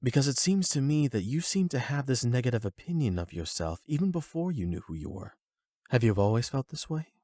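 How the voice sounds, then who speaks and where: A man voicing a calm, erudite therapist in an anime audio roleplay, speaking in a measured, formal, gently probing way. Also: medium pitch, dry recording, clean studio sound, voice pushed up. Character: calm, erudite male therapist and demi-human expert.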